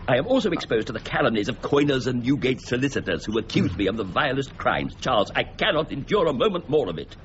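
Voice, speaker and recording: A man's voice: mid-range voice, noisy background, natural voice. A man acting in a radio drama as a proud, exacting and irritable Master of the Mint, speaking precisely and imperiously. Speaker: actor in lead role. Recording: dull lo-fi radio recording, music behind.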